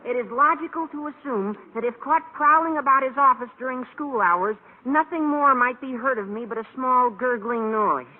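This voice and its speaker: A man voicing a scheming American adolescent schoolboy with a squeaky voice and pompous, mock-formal speech in a vintage radio sitcom. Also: moderate pace, hardly any pauses. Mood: conspiratorial. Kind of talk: 1940s-50s radio sitcom acting. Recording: tinny, noisy old radio recording.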